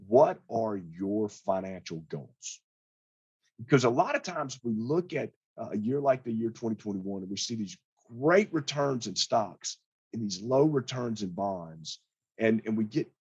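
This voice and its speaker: A hoarse man, a financial advisor, giving a casual client update in a plain-spoken, conversational way. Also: lowish voice, a little mellow, no echo, lo-fi sound.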